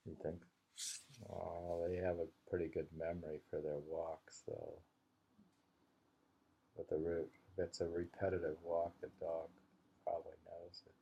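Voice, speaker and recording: A man's voice: low-pitched, completely flat delivery, measured pace, vocal fry, pauses often, mellow, highs cut off, slight background noise. A man reading haiku aloud, repeating lines and musing on them in casual commentary. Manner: musing, repeats each poem. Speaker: reader and host of a poetry reading.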